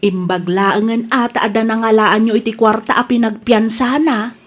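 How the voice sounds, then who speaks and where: A woman playing a concerned mother of a grown daughter in a radio drama, gently questioning. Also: fairly high pitch, steady tone, normal speed, natural voice. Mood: concerned, calm. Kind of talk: Ilocano radio drama acting.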